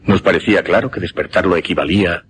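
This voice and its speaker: Actor as deep-voiced, intense Castilian mesmerist. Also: male voice, slight background noise, natural voice. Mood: solemn, deliberate. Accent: Castilian Spanish. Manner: deliberate, dramatic pauses, emphatic repetition. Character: intense male mesmerist recounting his macabre experiment. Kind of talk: suspense radio drama, theatrical storytelling.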